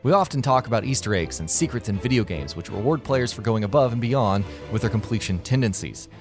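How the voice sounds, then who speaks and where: A man's voice: mid-range voice, lively intonation, brisk. Male narrator for a scripted gaming countdown, middle register, light music bed. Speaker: narrator of a video game list.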